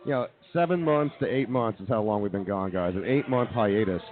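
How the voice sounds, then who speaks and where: A man's voice: on the low side, brisk, narrow, tinny audio. A man hosting a comedy radio show with casual banter, heard through thin, band-limited audio.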